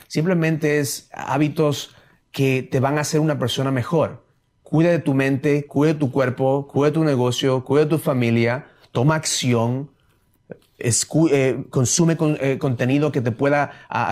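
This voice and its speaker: A man's voice: medium pitch, a little mellow. A man hosting a motivational business podcast, who slips phrases into his Spanish and stops to correct himself. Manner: mixes English phrases into Spanish; halting, self-correcting restarts. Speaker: podcast host. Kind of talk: casual motivational chat.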